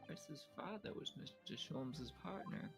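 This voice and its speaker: A man with a creaky voice, reading dialogue in a group fan dub of a video game. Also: a little high, lively intonation, a little slow. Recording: prominent game music behind.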